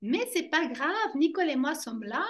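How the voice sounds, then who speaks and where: Higher-pitched woman, animated storyteller. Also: normal speed. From German-speaking Switzerland. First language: German. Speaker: interview guest, fascia trainer. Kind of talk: conversational personal account.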